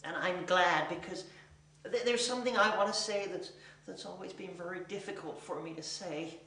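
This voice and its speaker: A man's voice: sits high, normal speed, pushed and bright. Man acting a nervous lovestruck rambler, echoey room. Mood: nervous, earnest. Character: neurotic, awkward man earnestly in love. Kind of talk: theatrical comic monologue. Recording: echoey room, distant mic.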